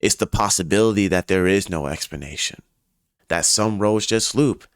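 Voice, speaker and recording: A man hosting and narrating a scripted video essay, telling the story in a dramatic, emphatic way with deliberate pauses. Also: low voice, no echo, clean studio sound.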